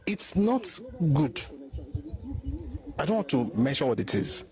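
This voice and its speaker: A man's voice: fairly high pitch, big pitch swings, measured pace, darkish tone. A middle-aged man with a Nigerian Yoruba accent giving an opinionated commentary monologue, emphatic and full of asides.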